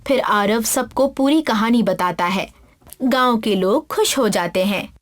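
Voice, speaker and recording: High-voiced woman narrating a children's story. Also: measured pace.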